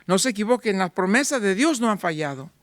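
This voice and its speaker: High-voiced man preaching a sermon. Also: lively intonation, a little fast, a bit bright, no echo, studio quality. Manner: rhetorical questions, voicing doubting listeners. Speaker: pastor.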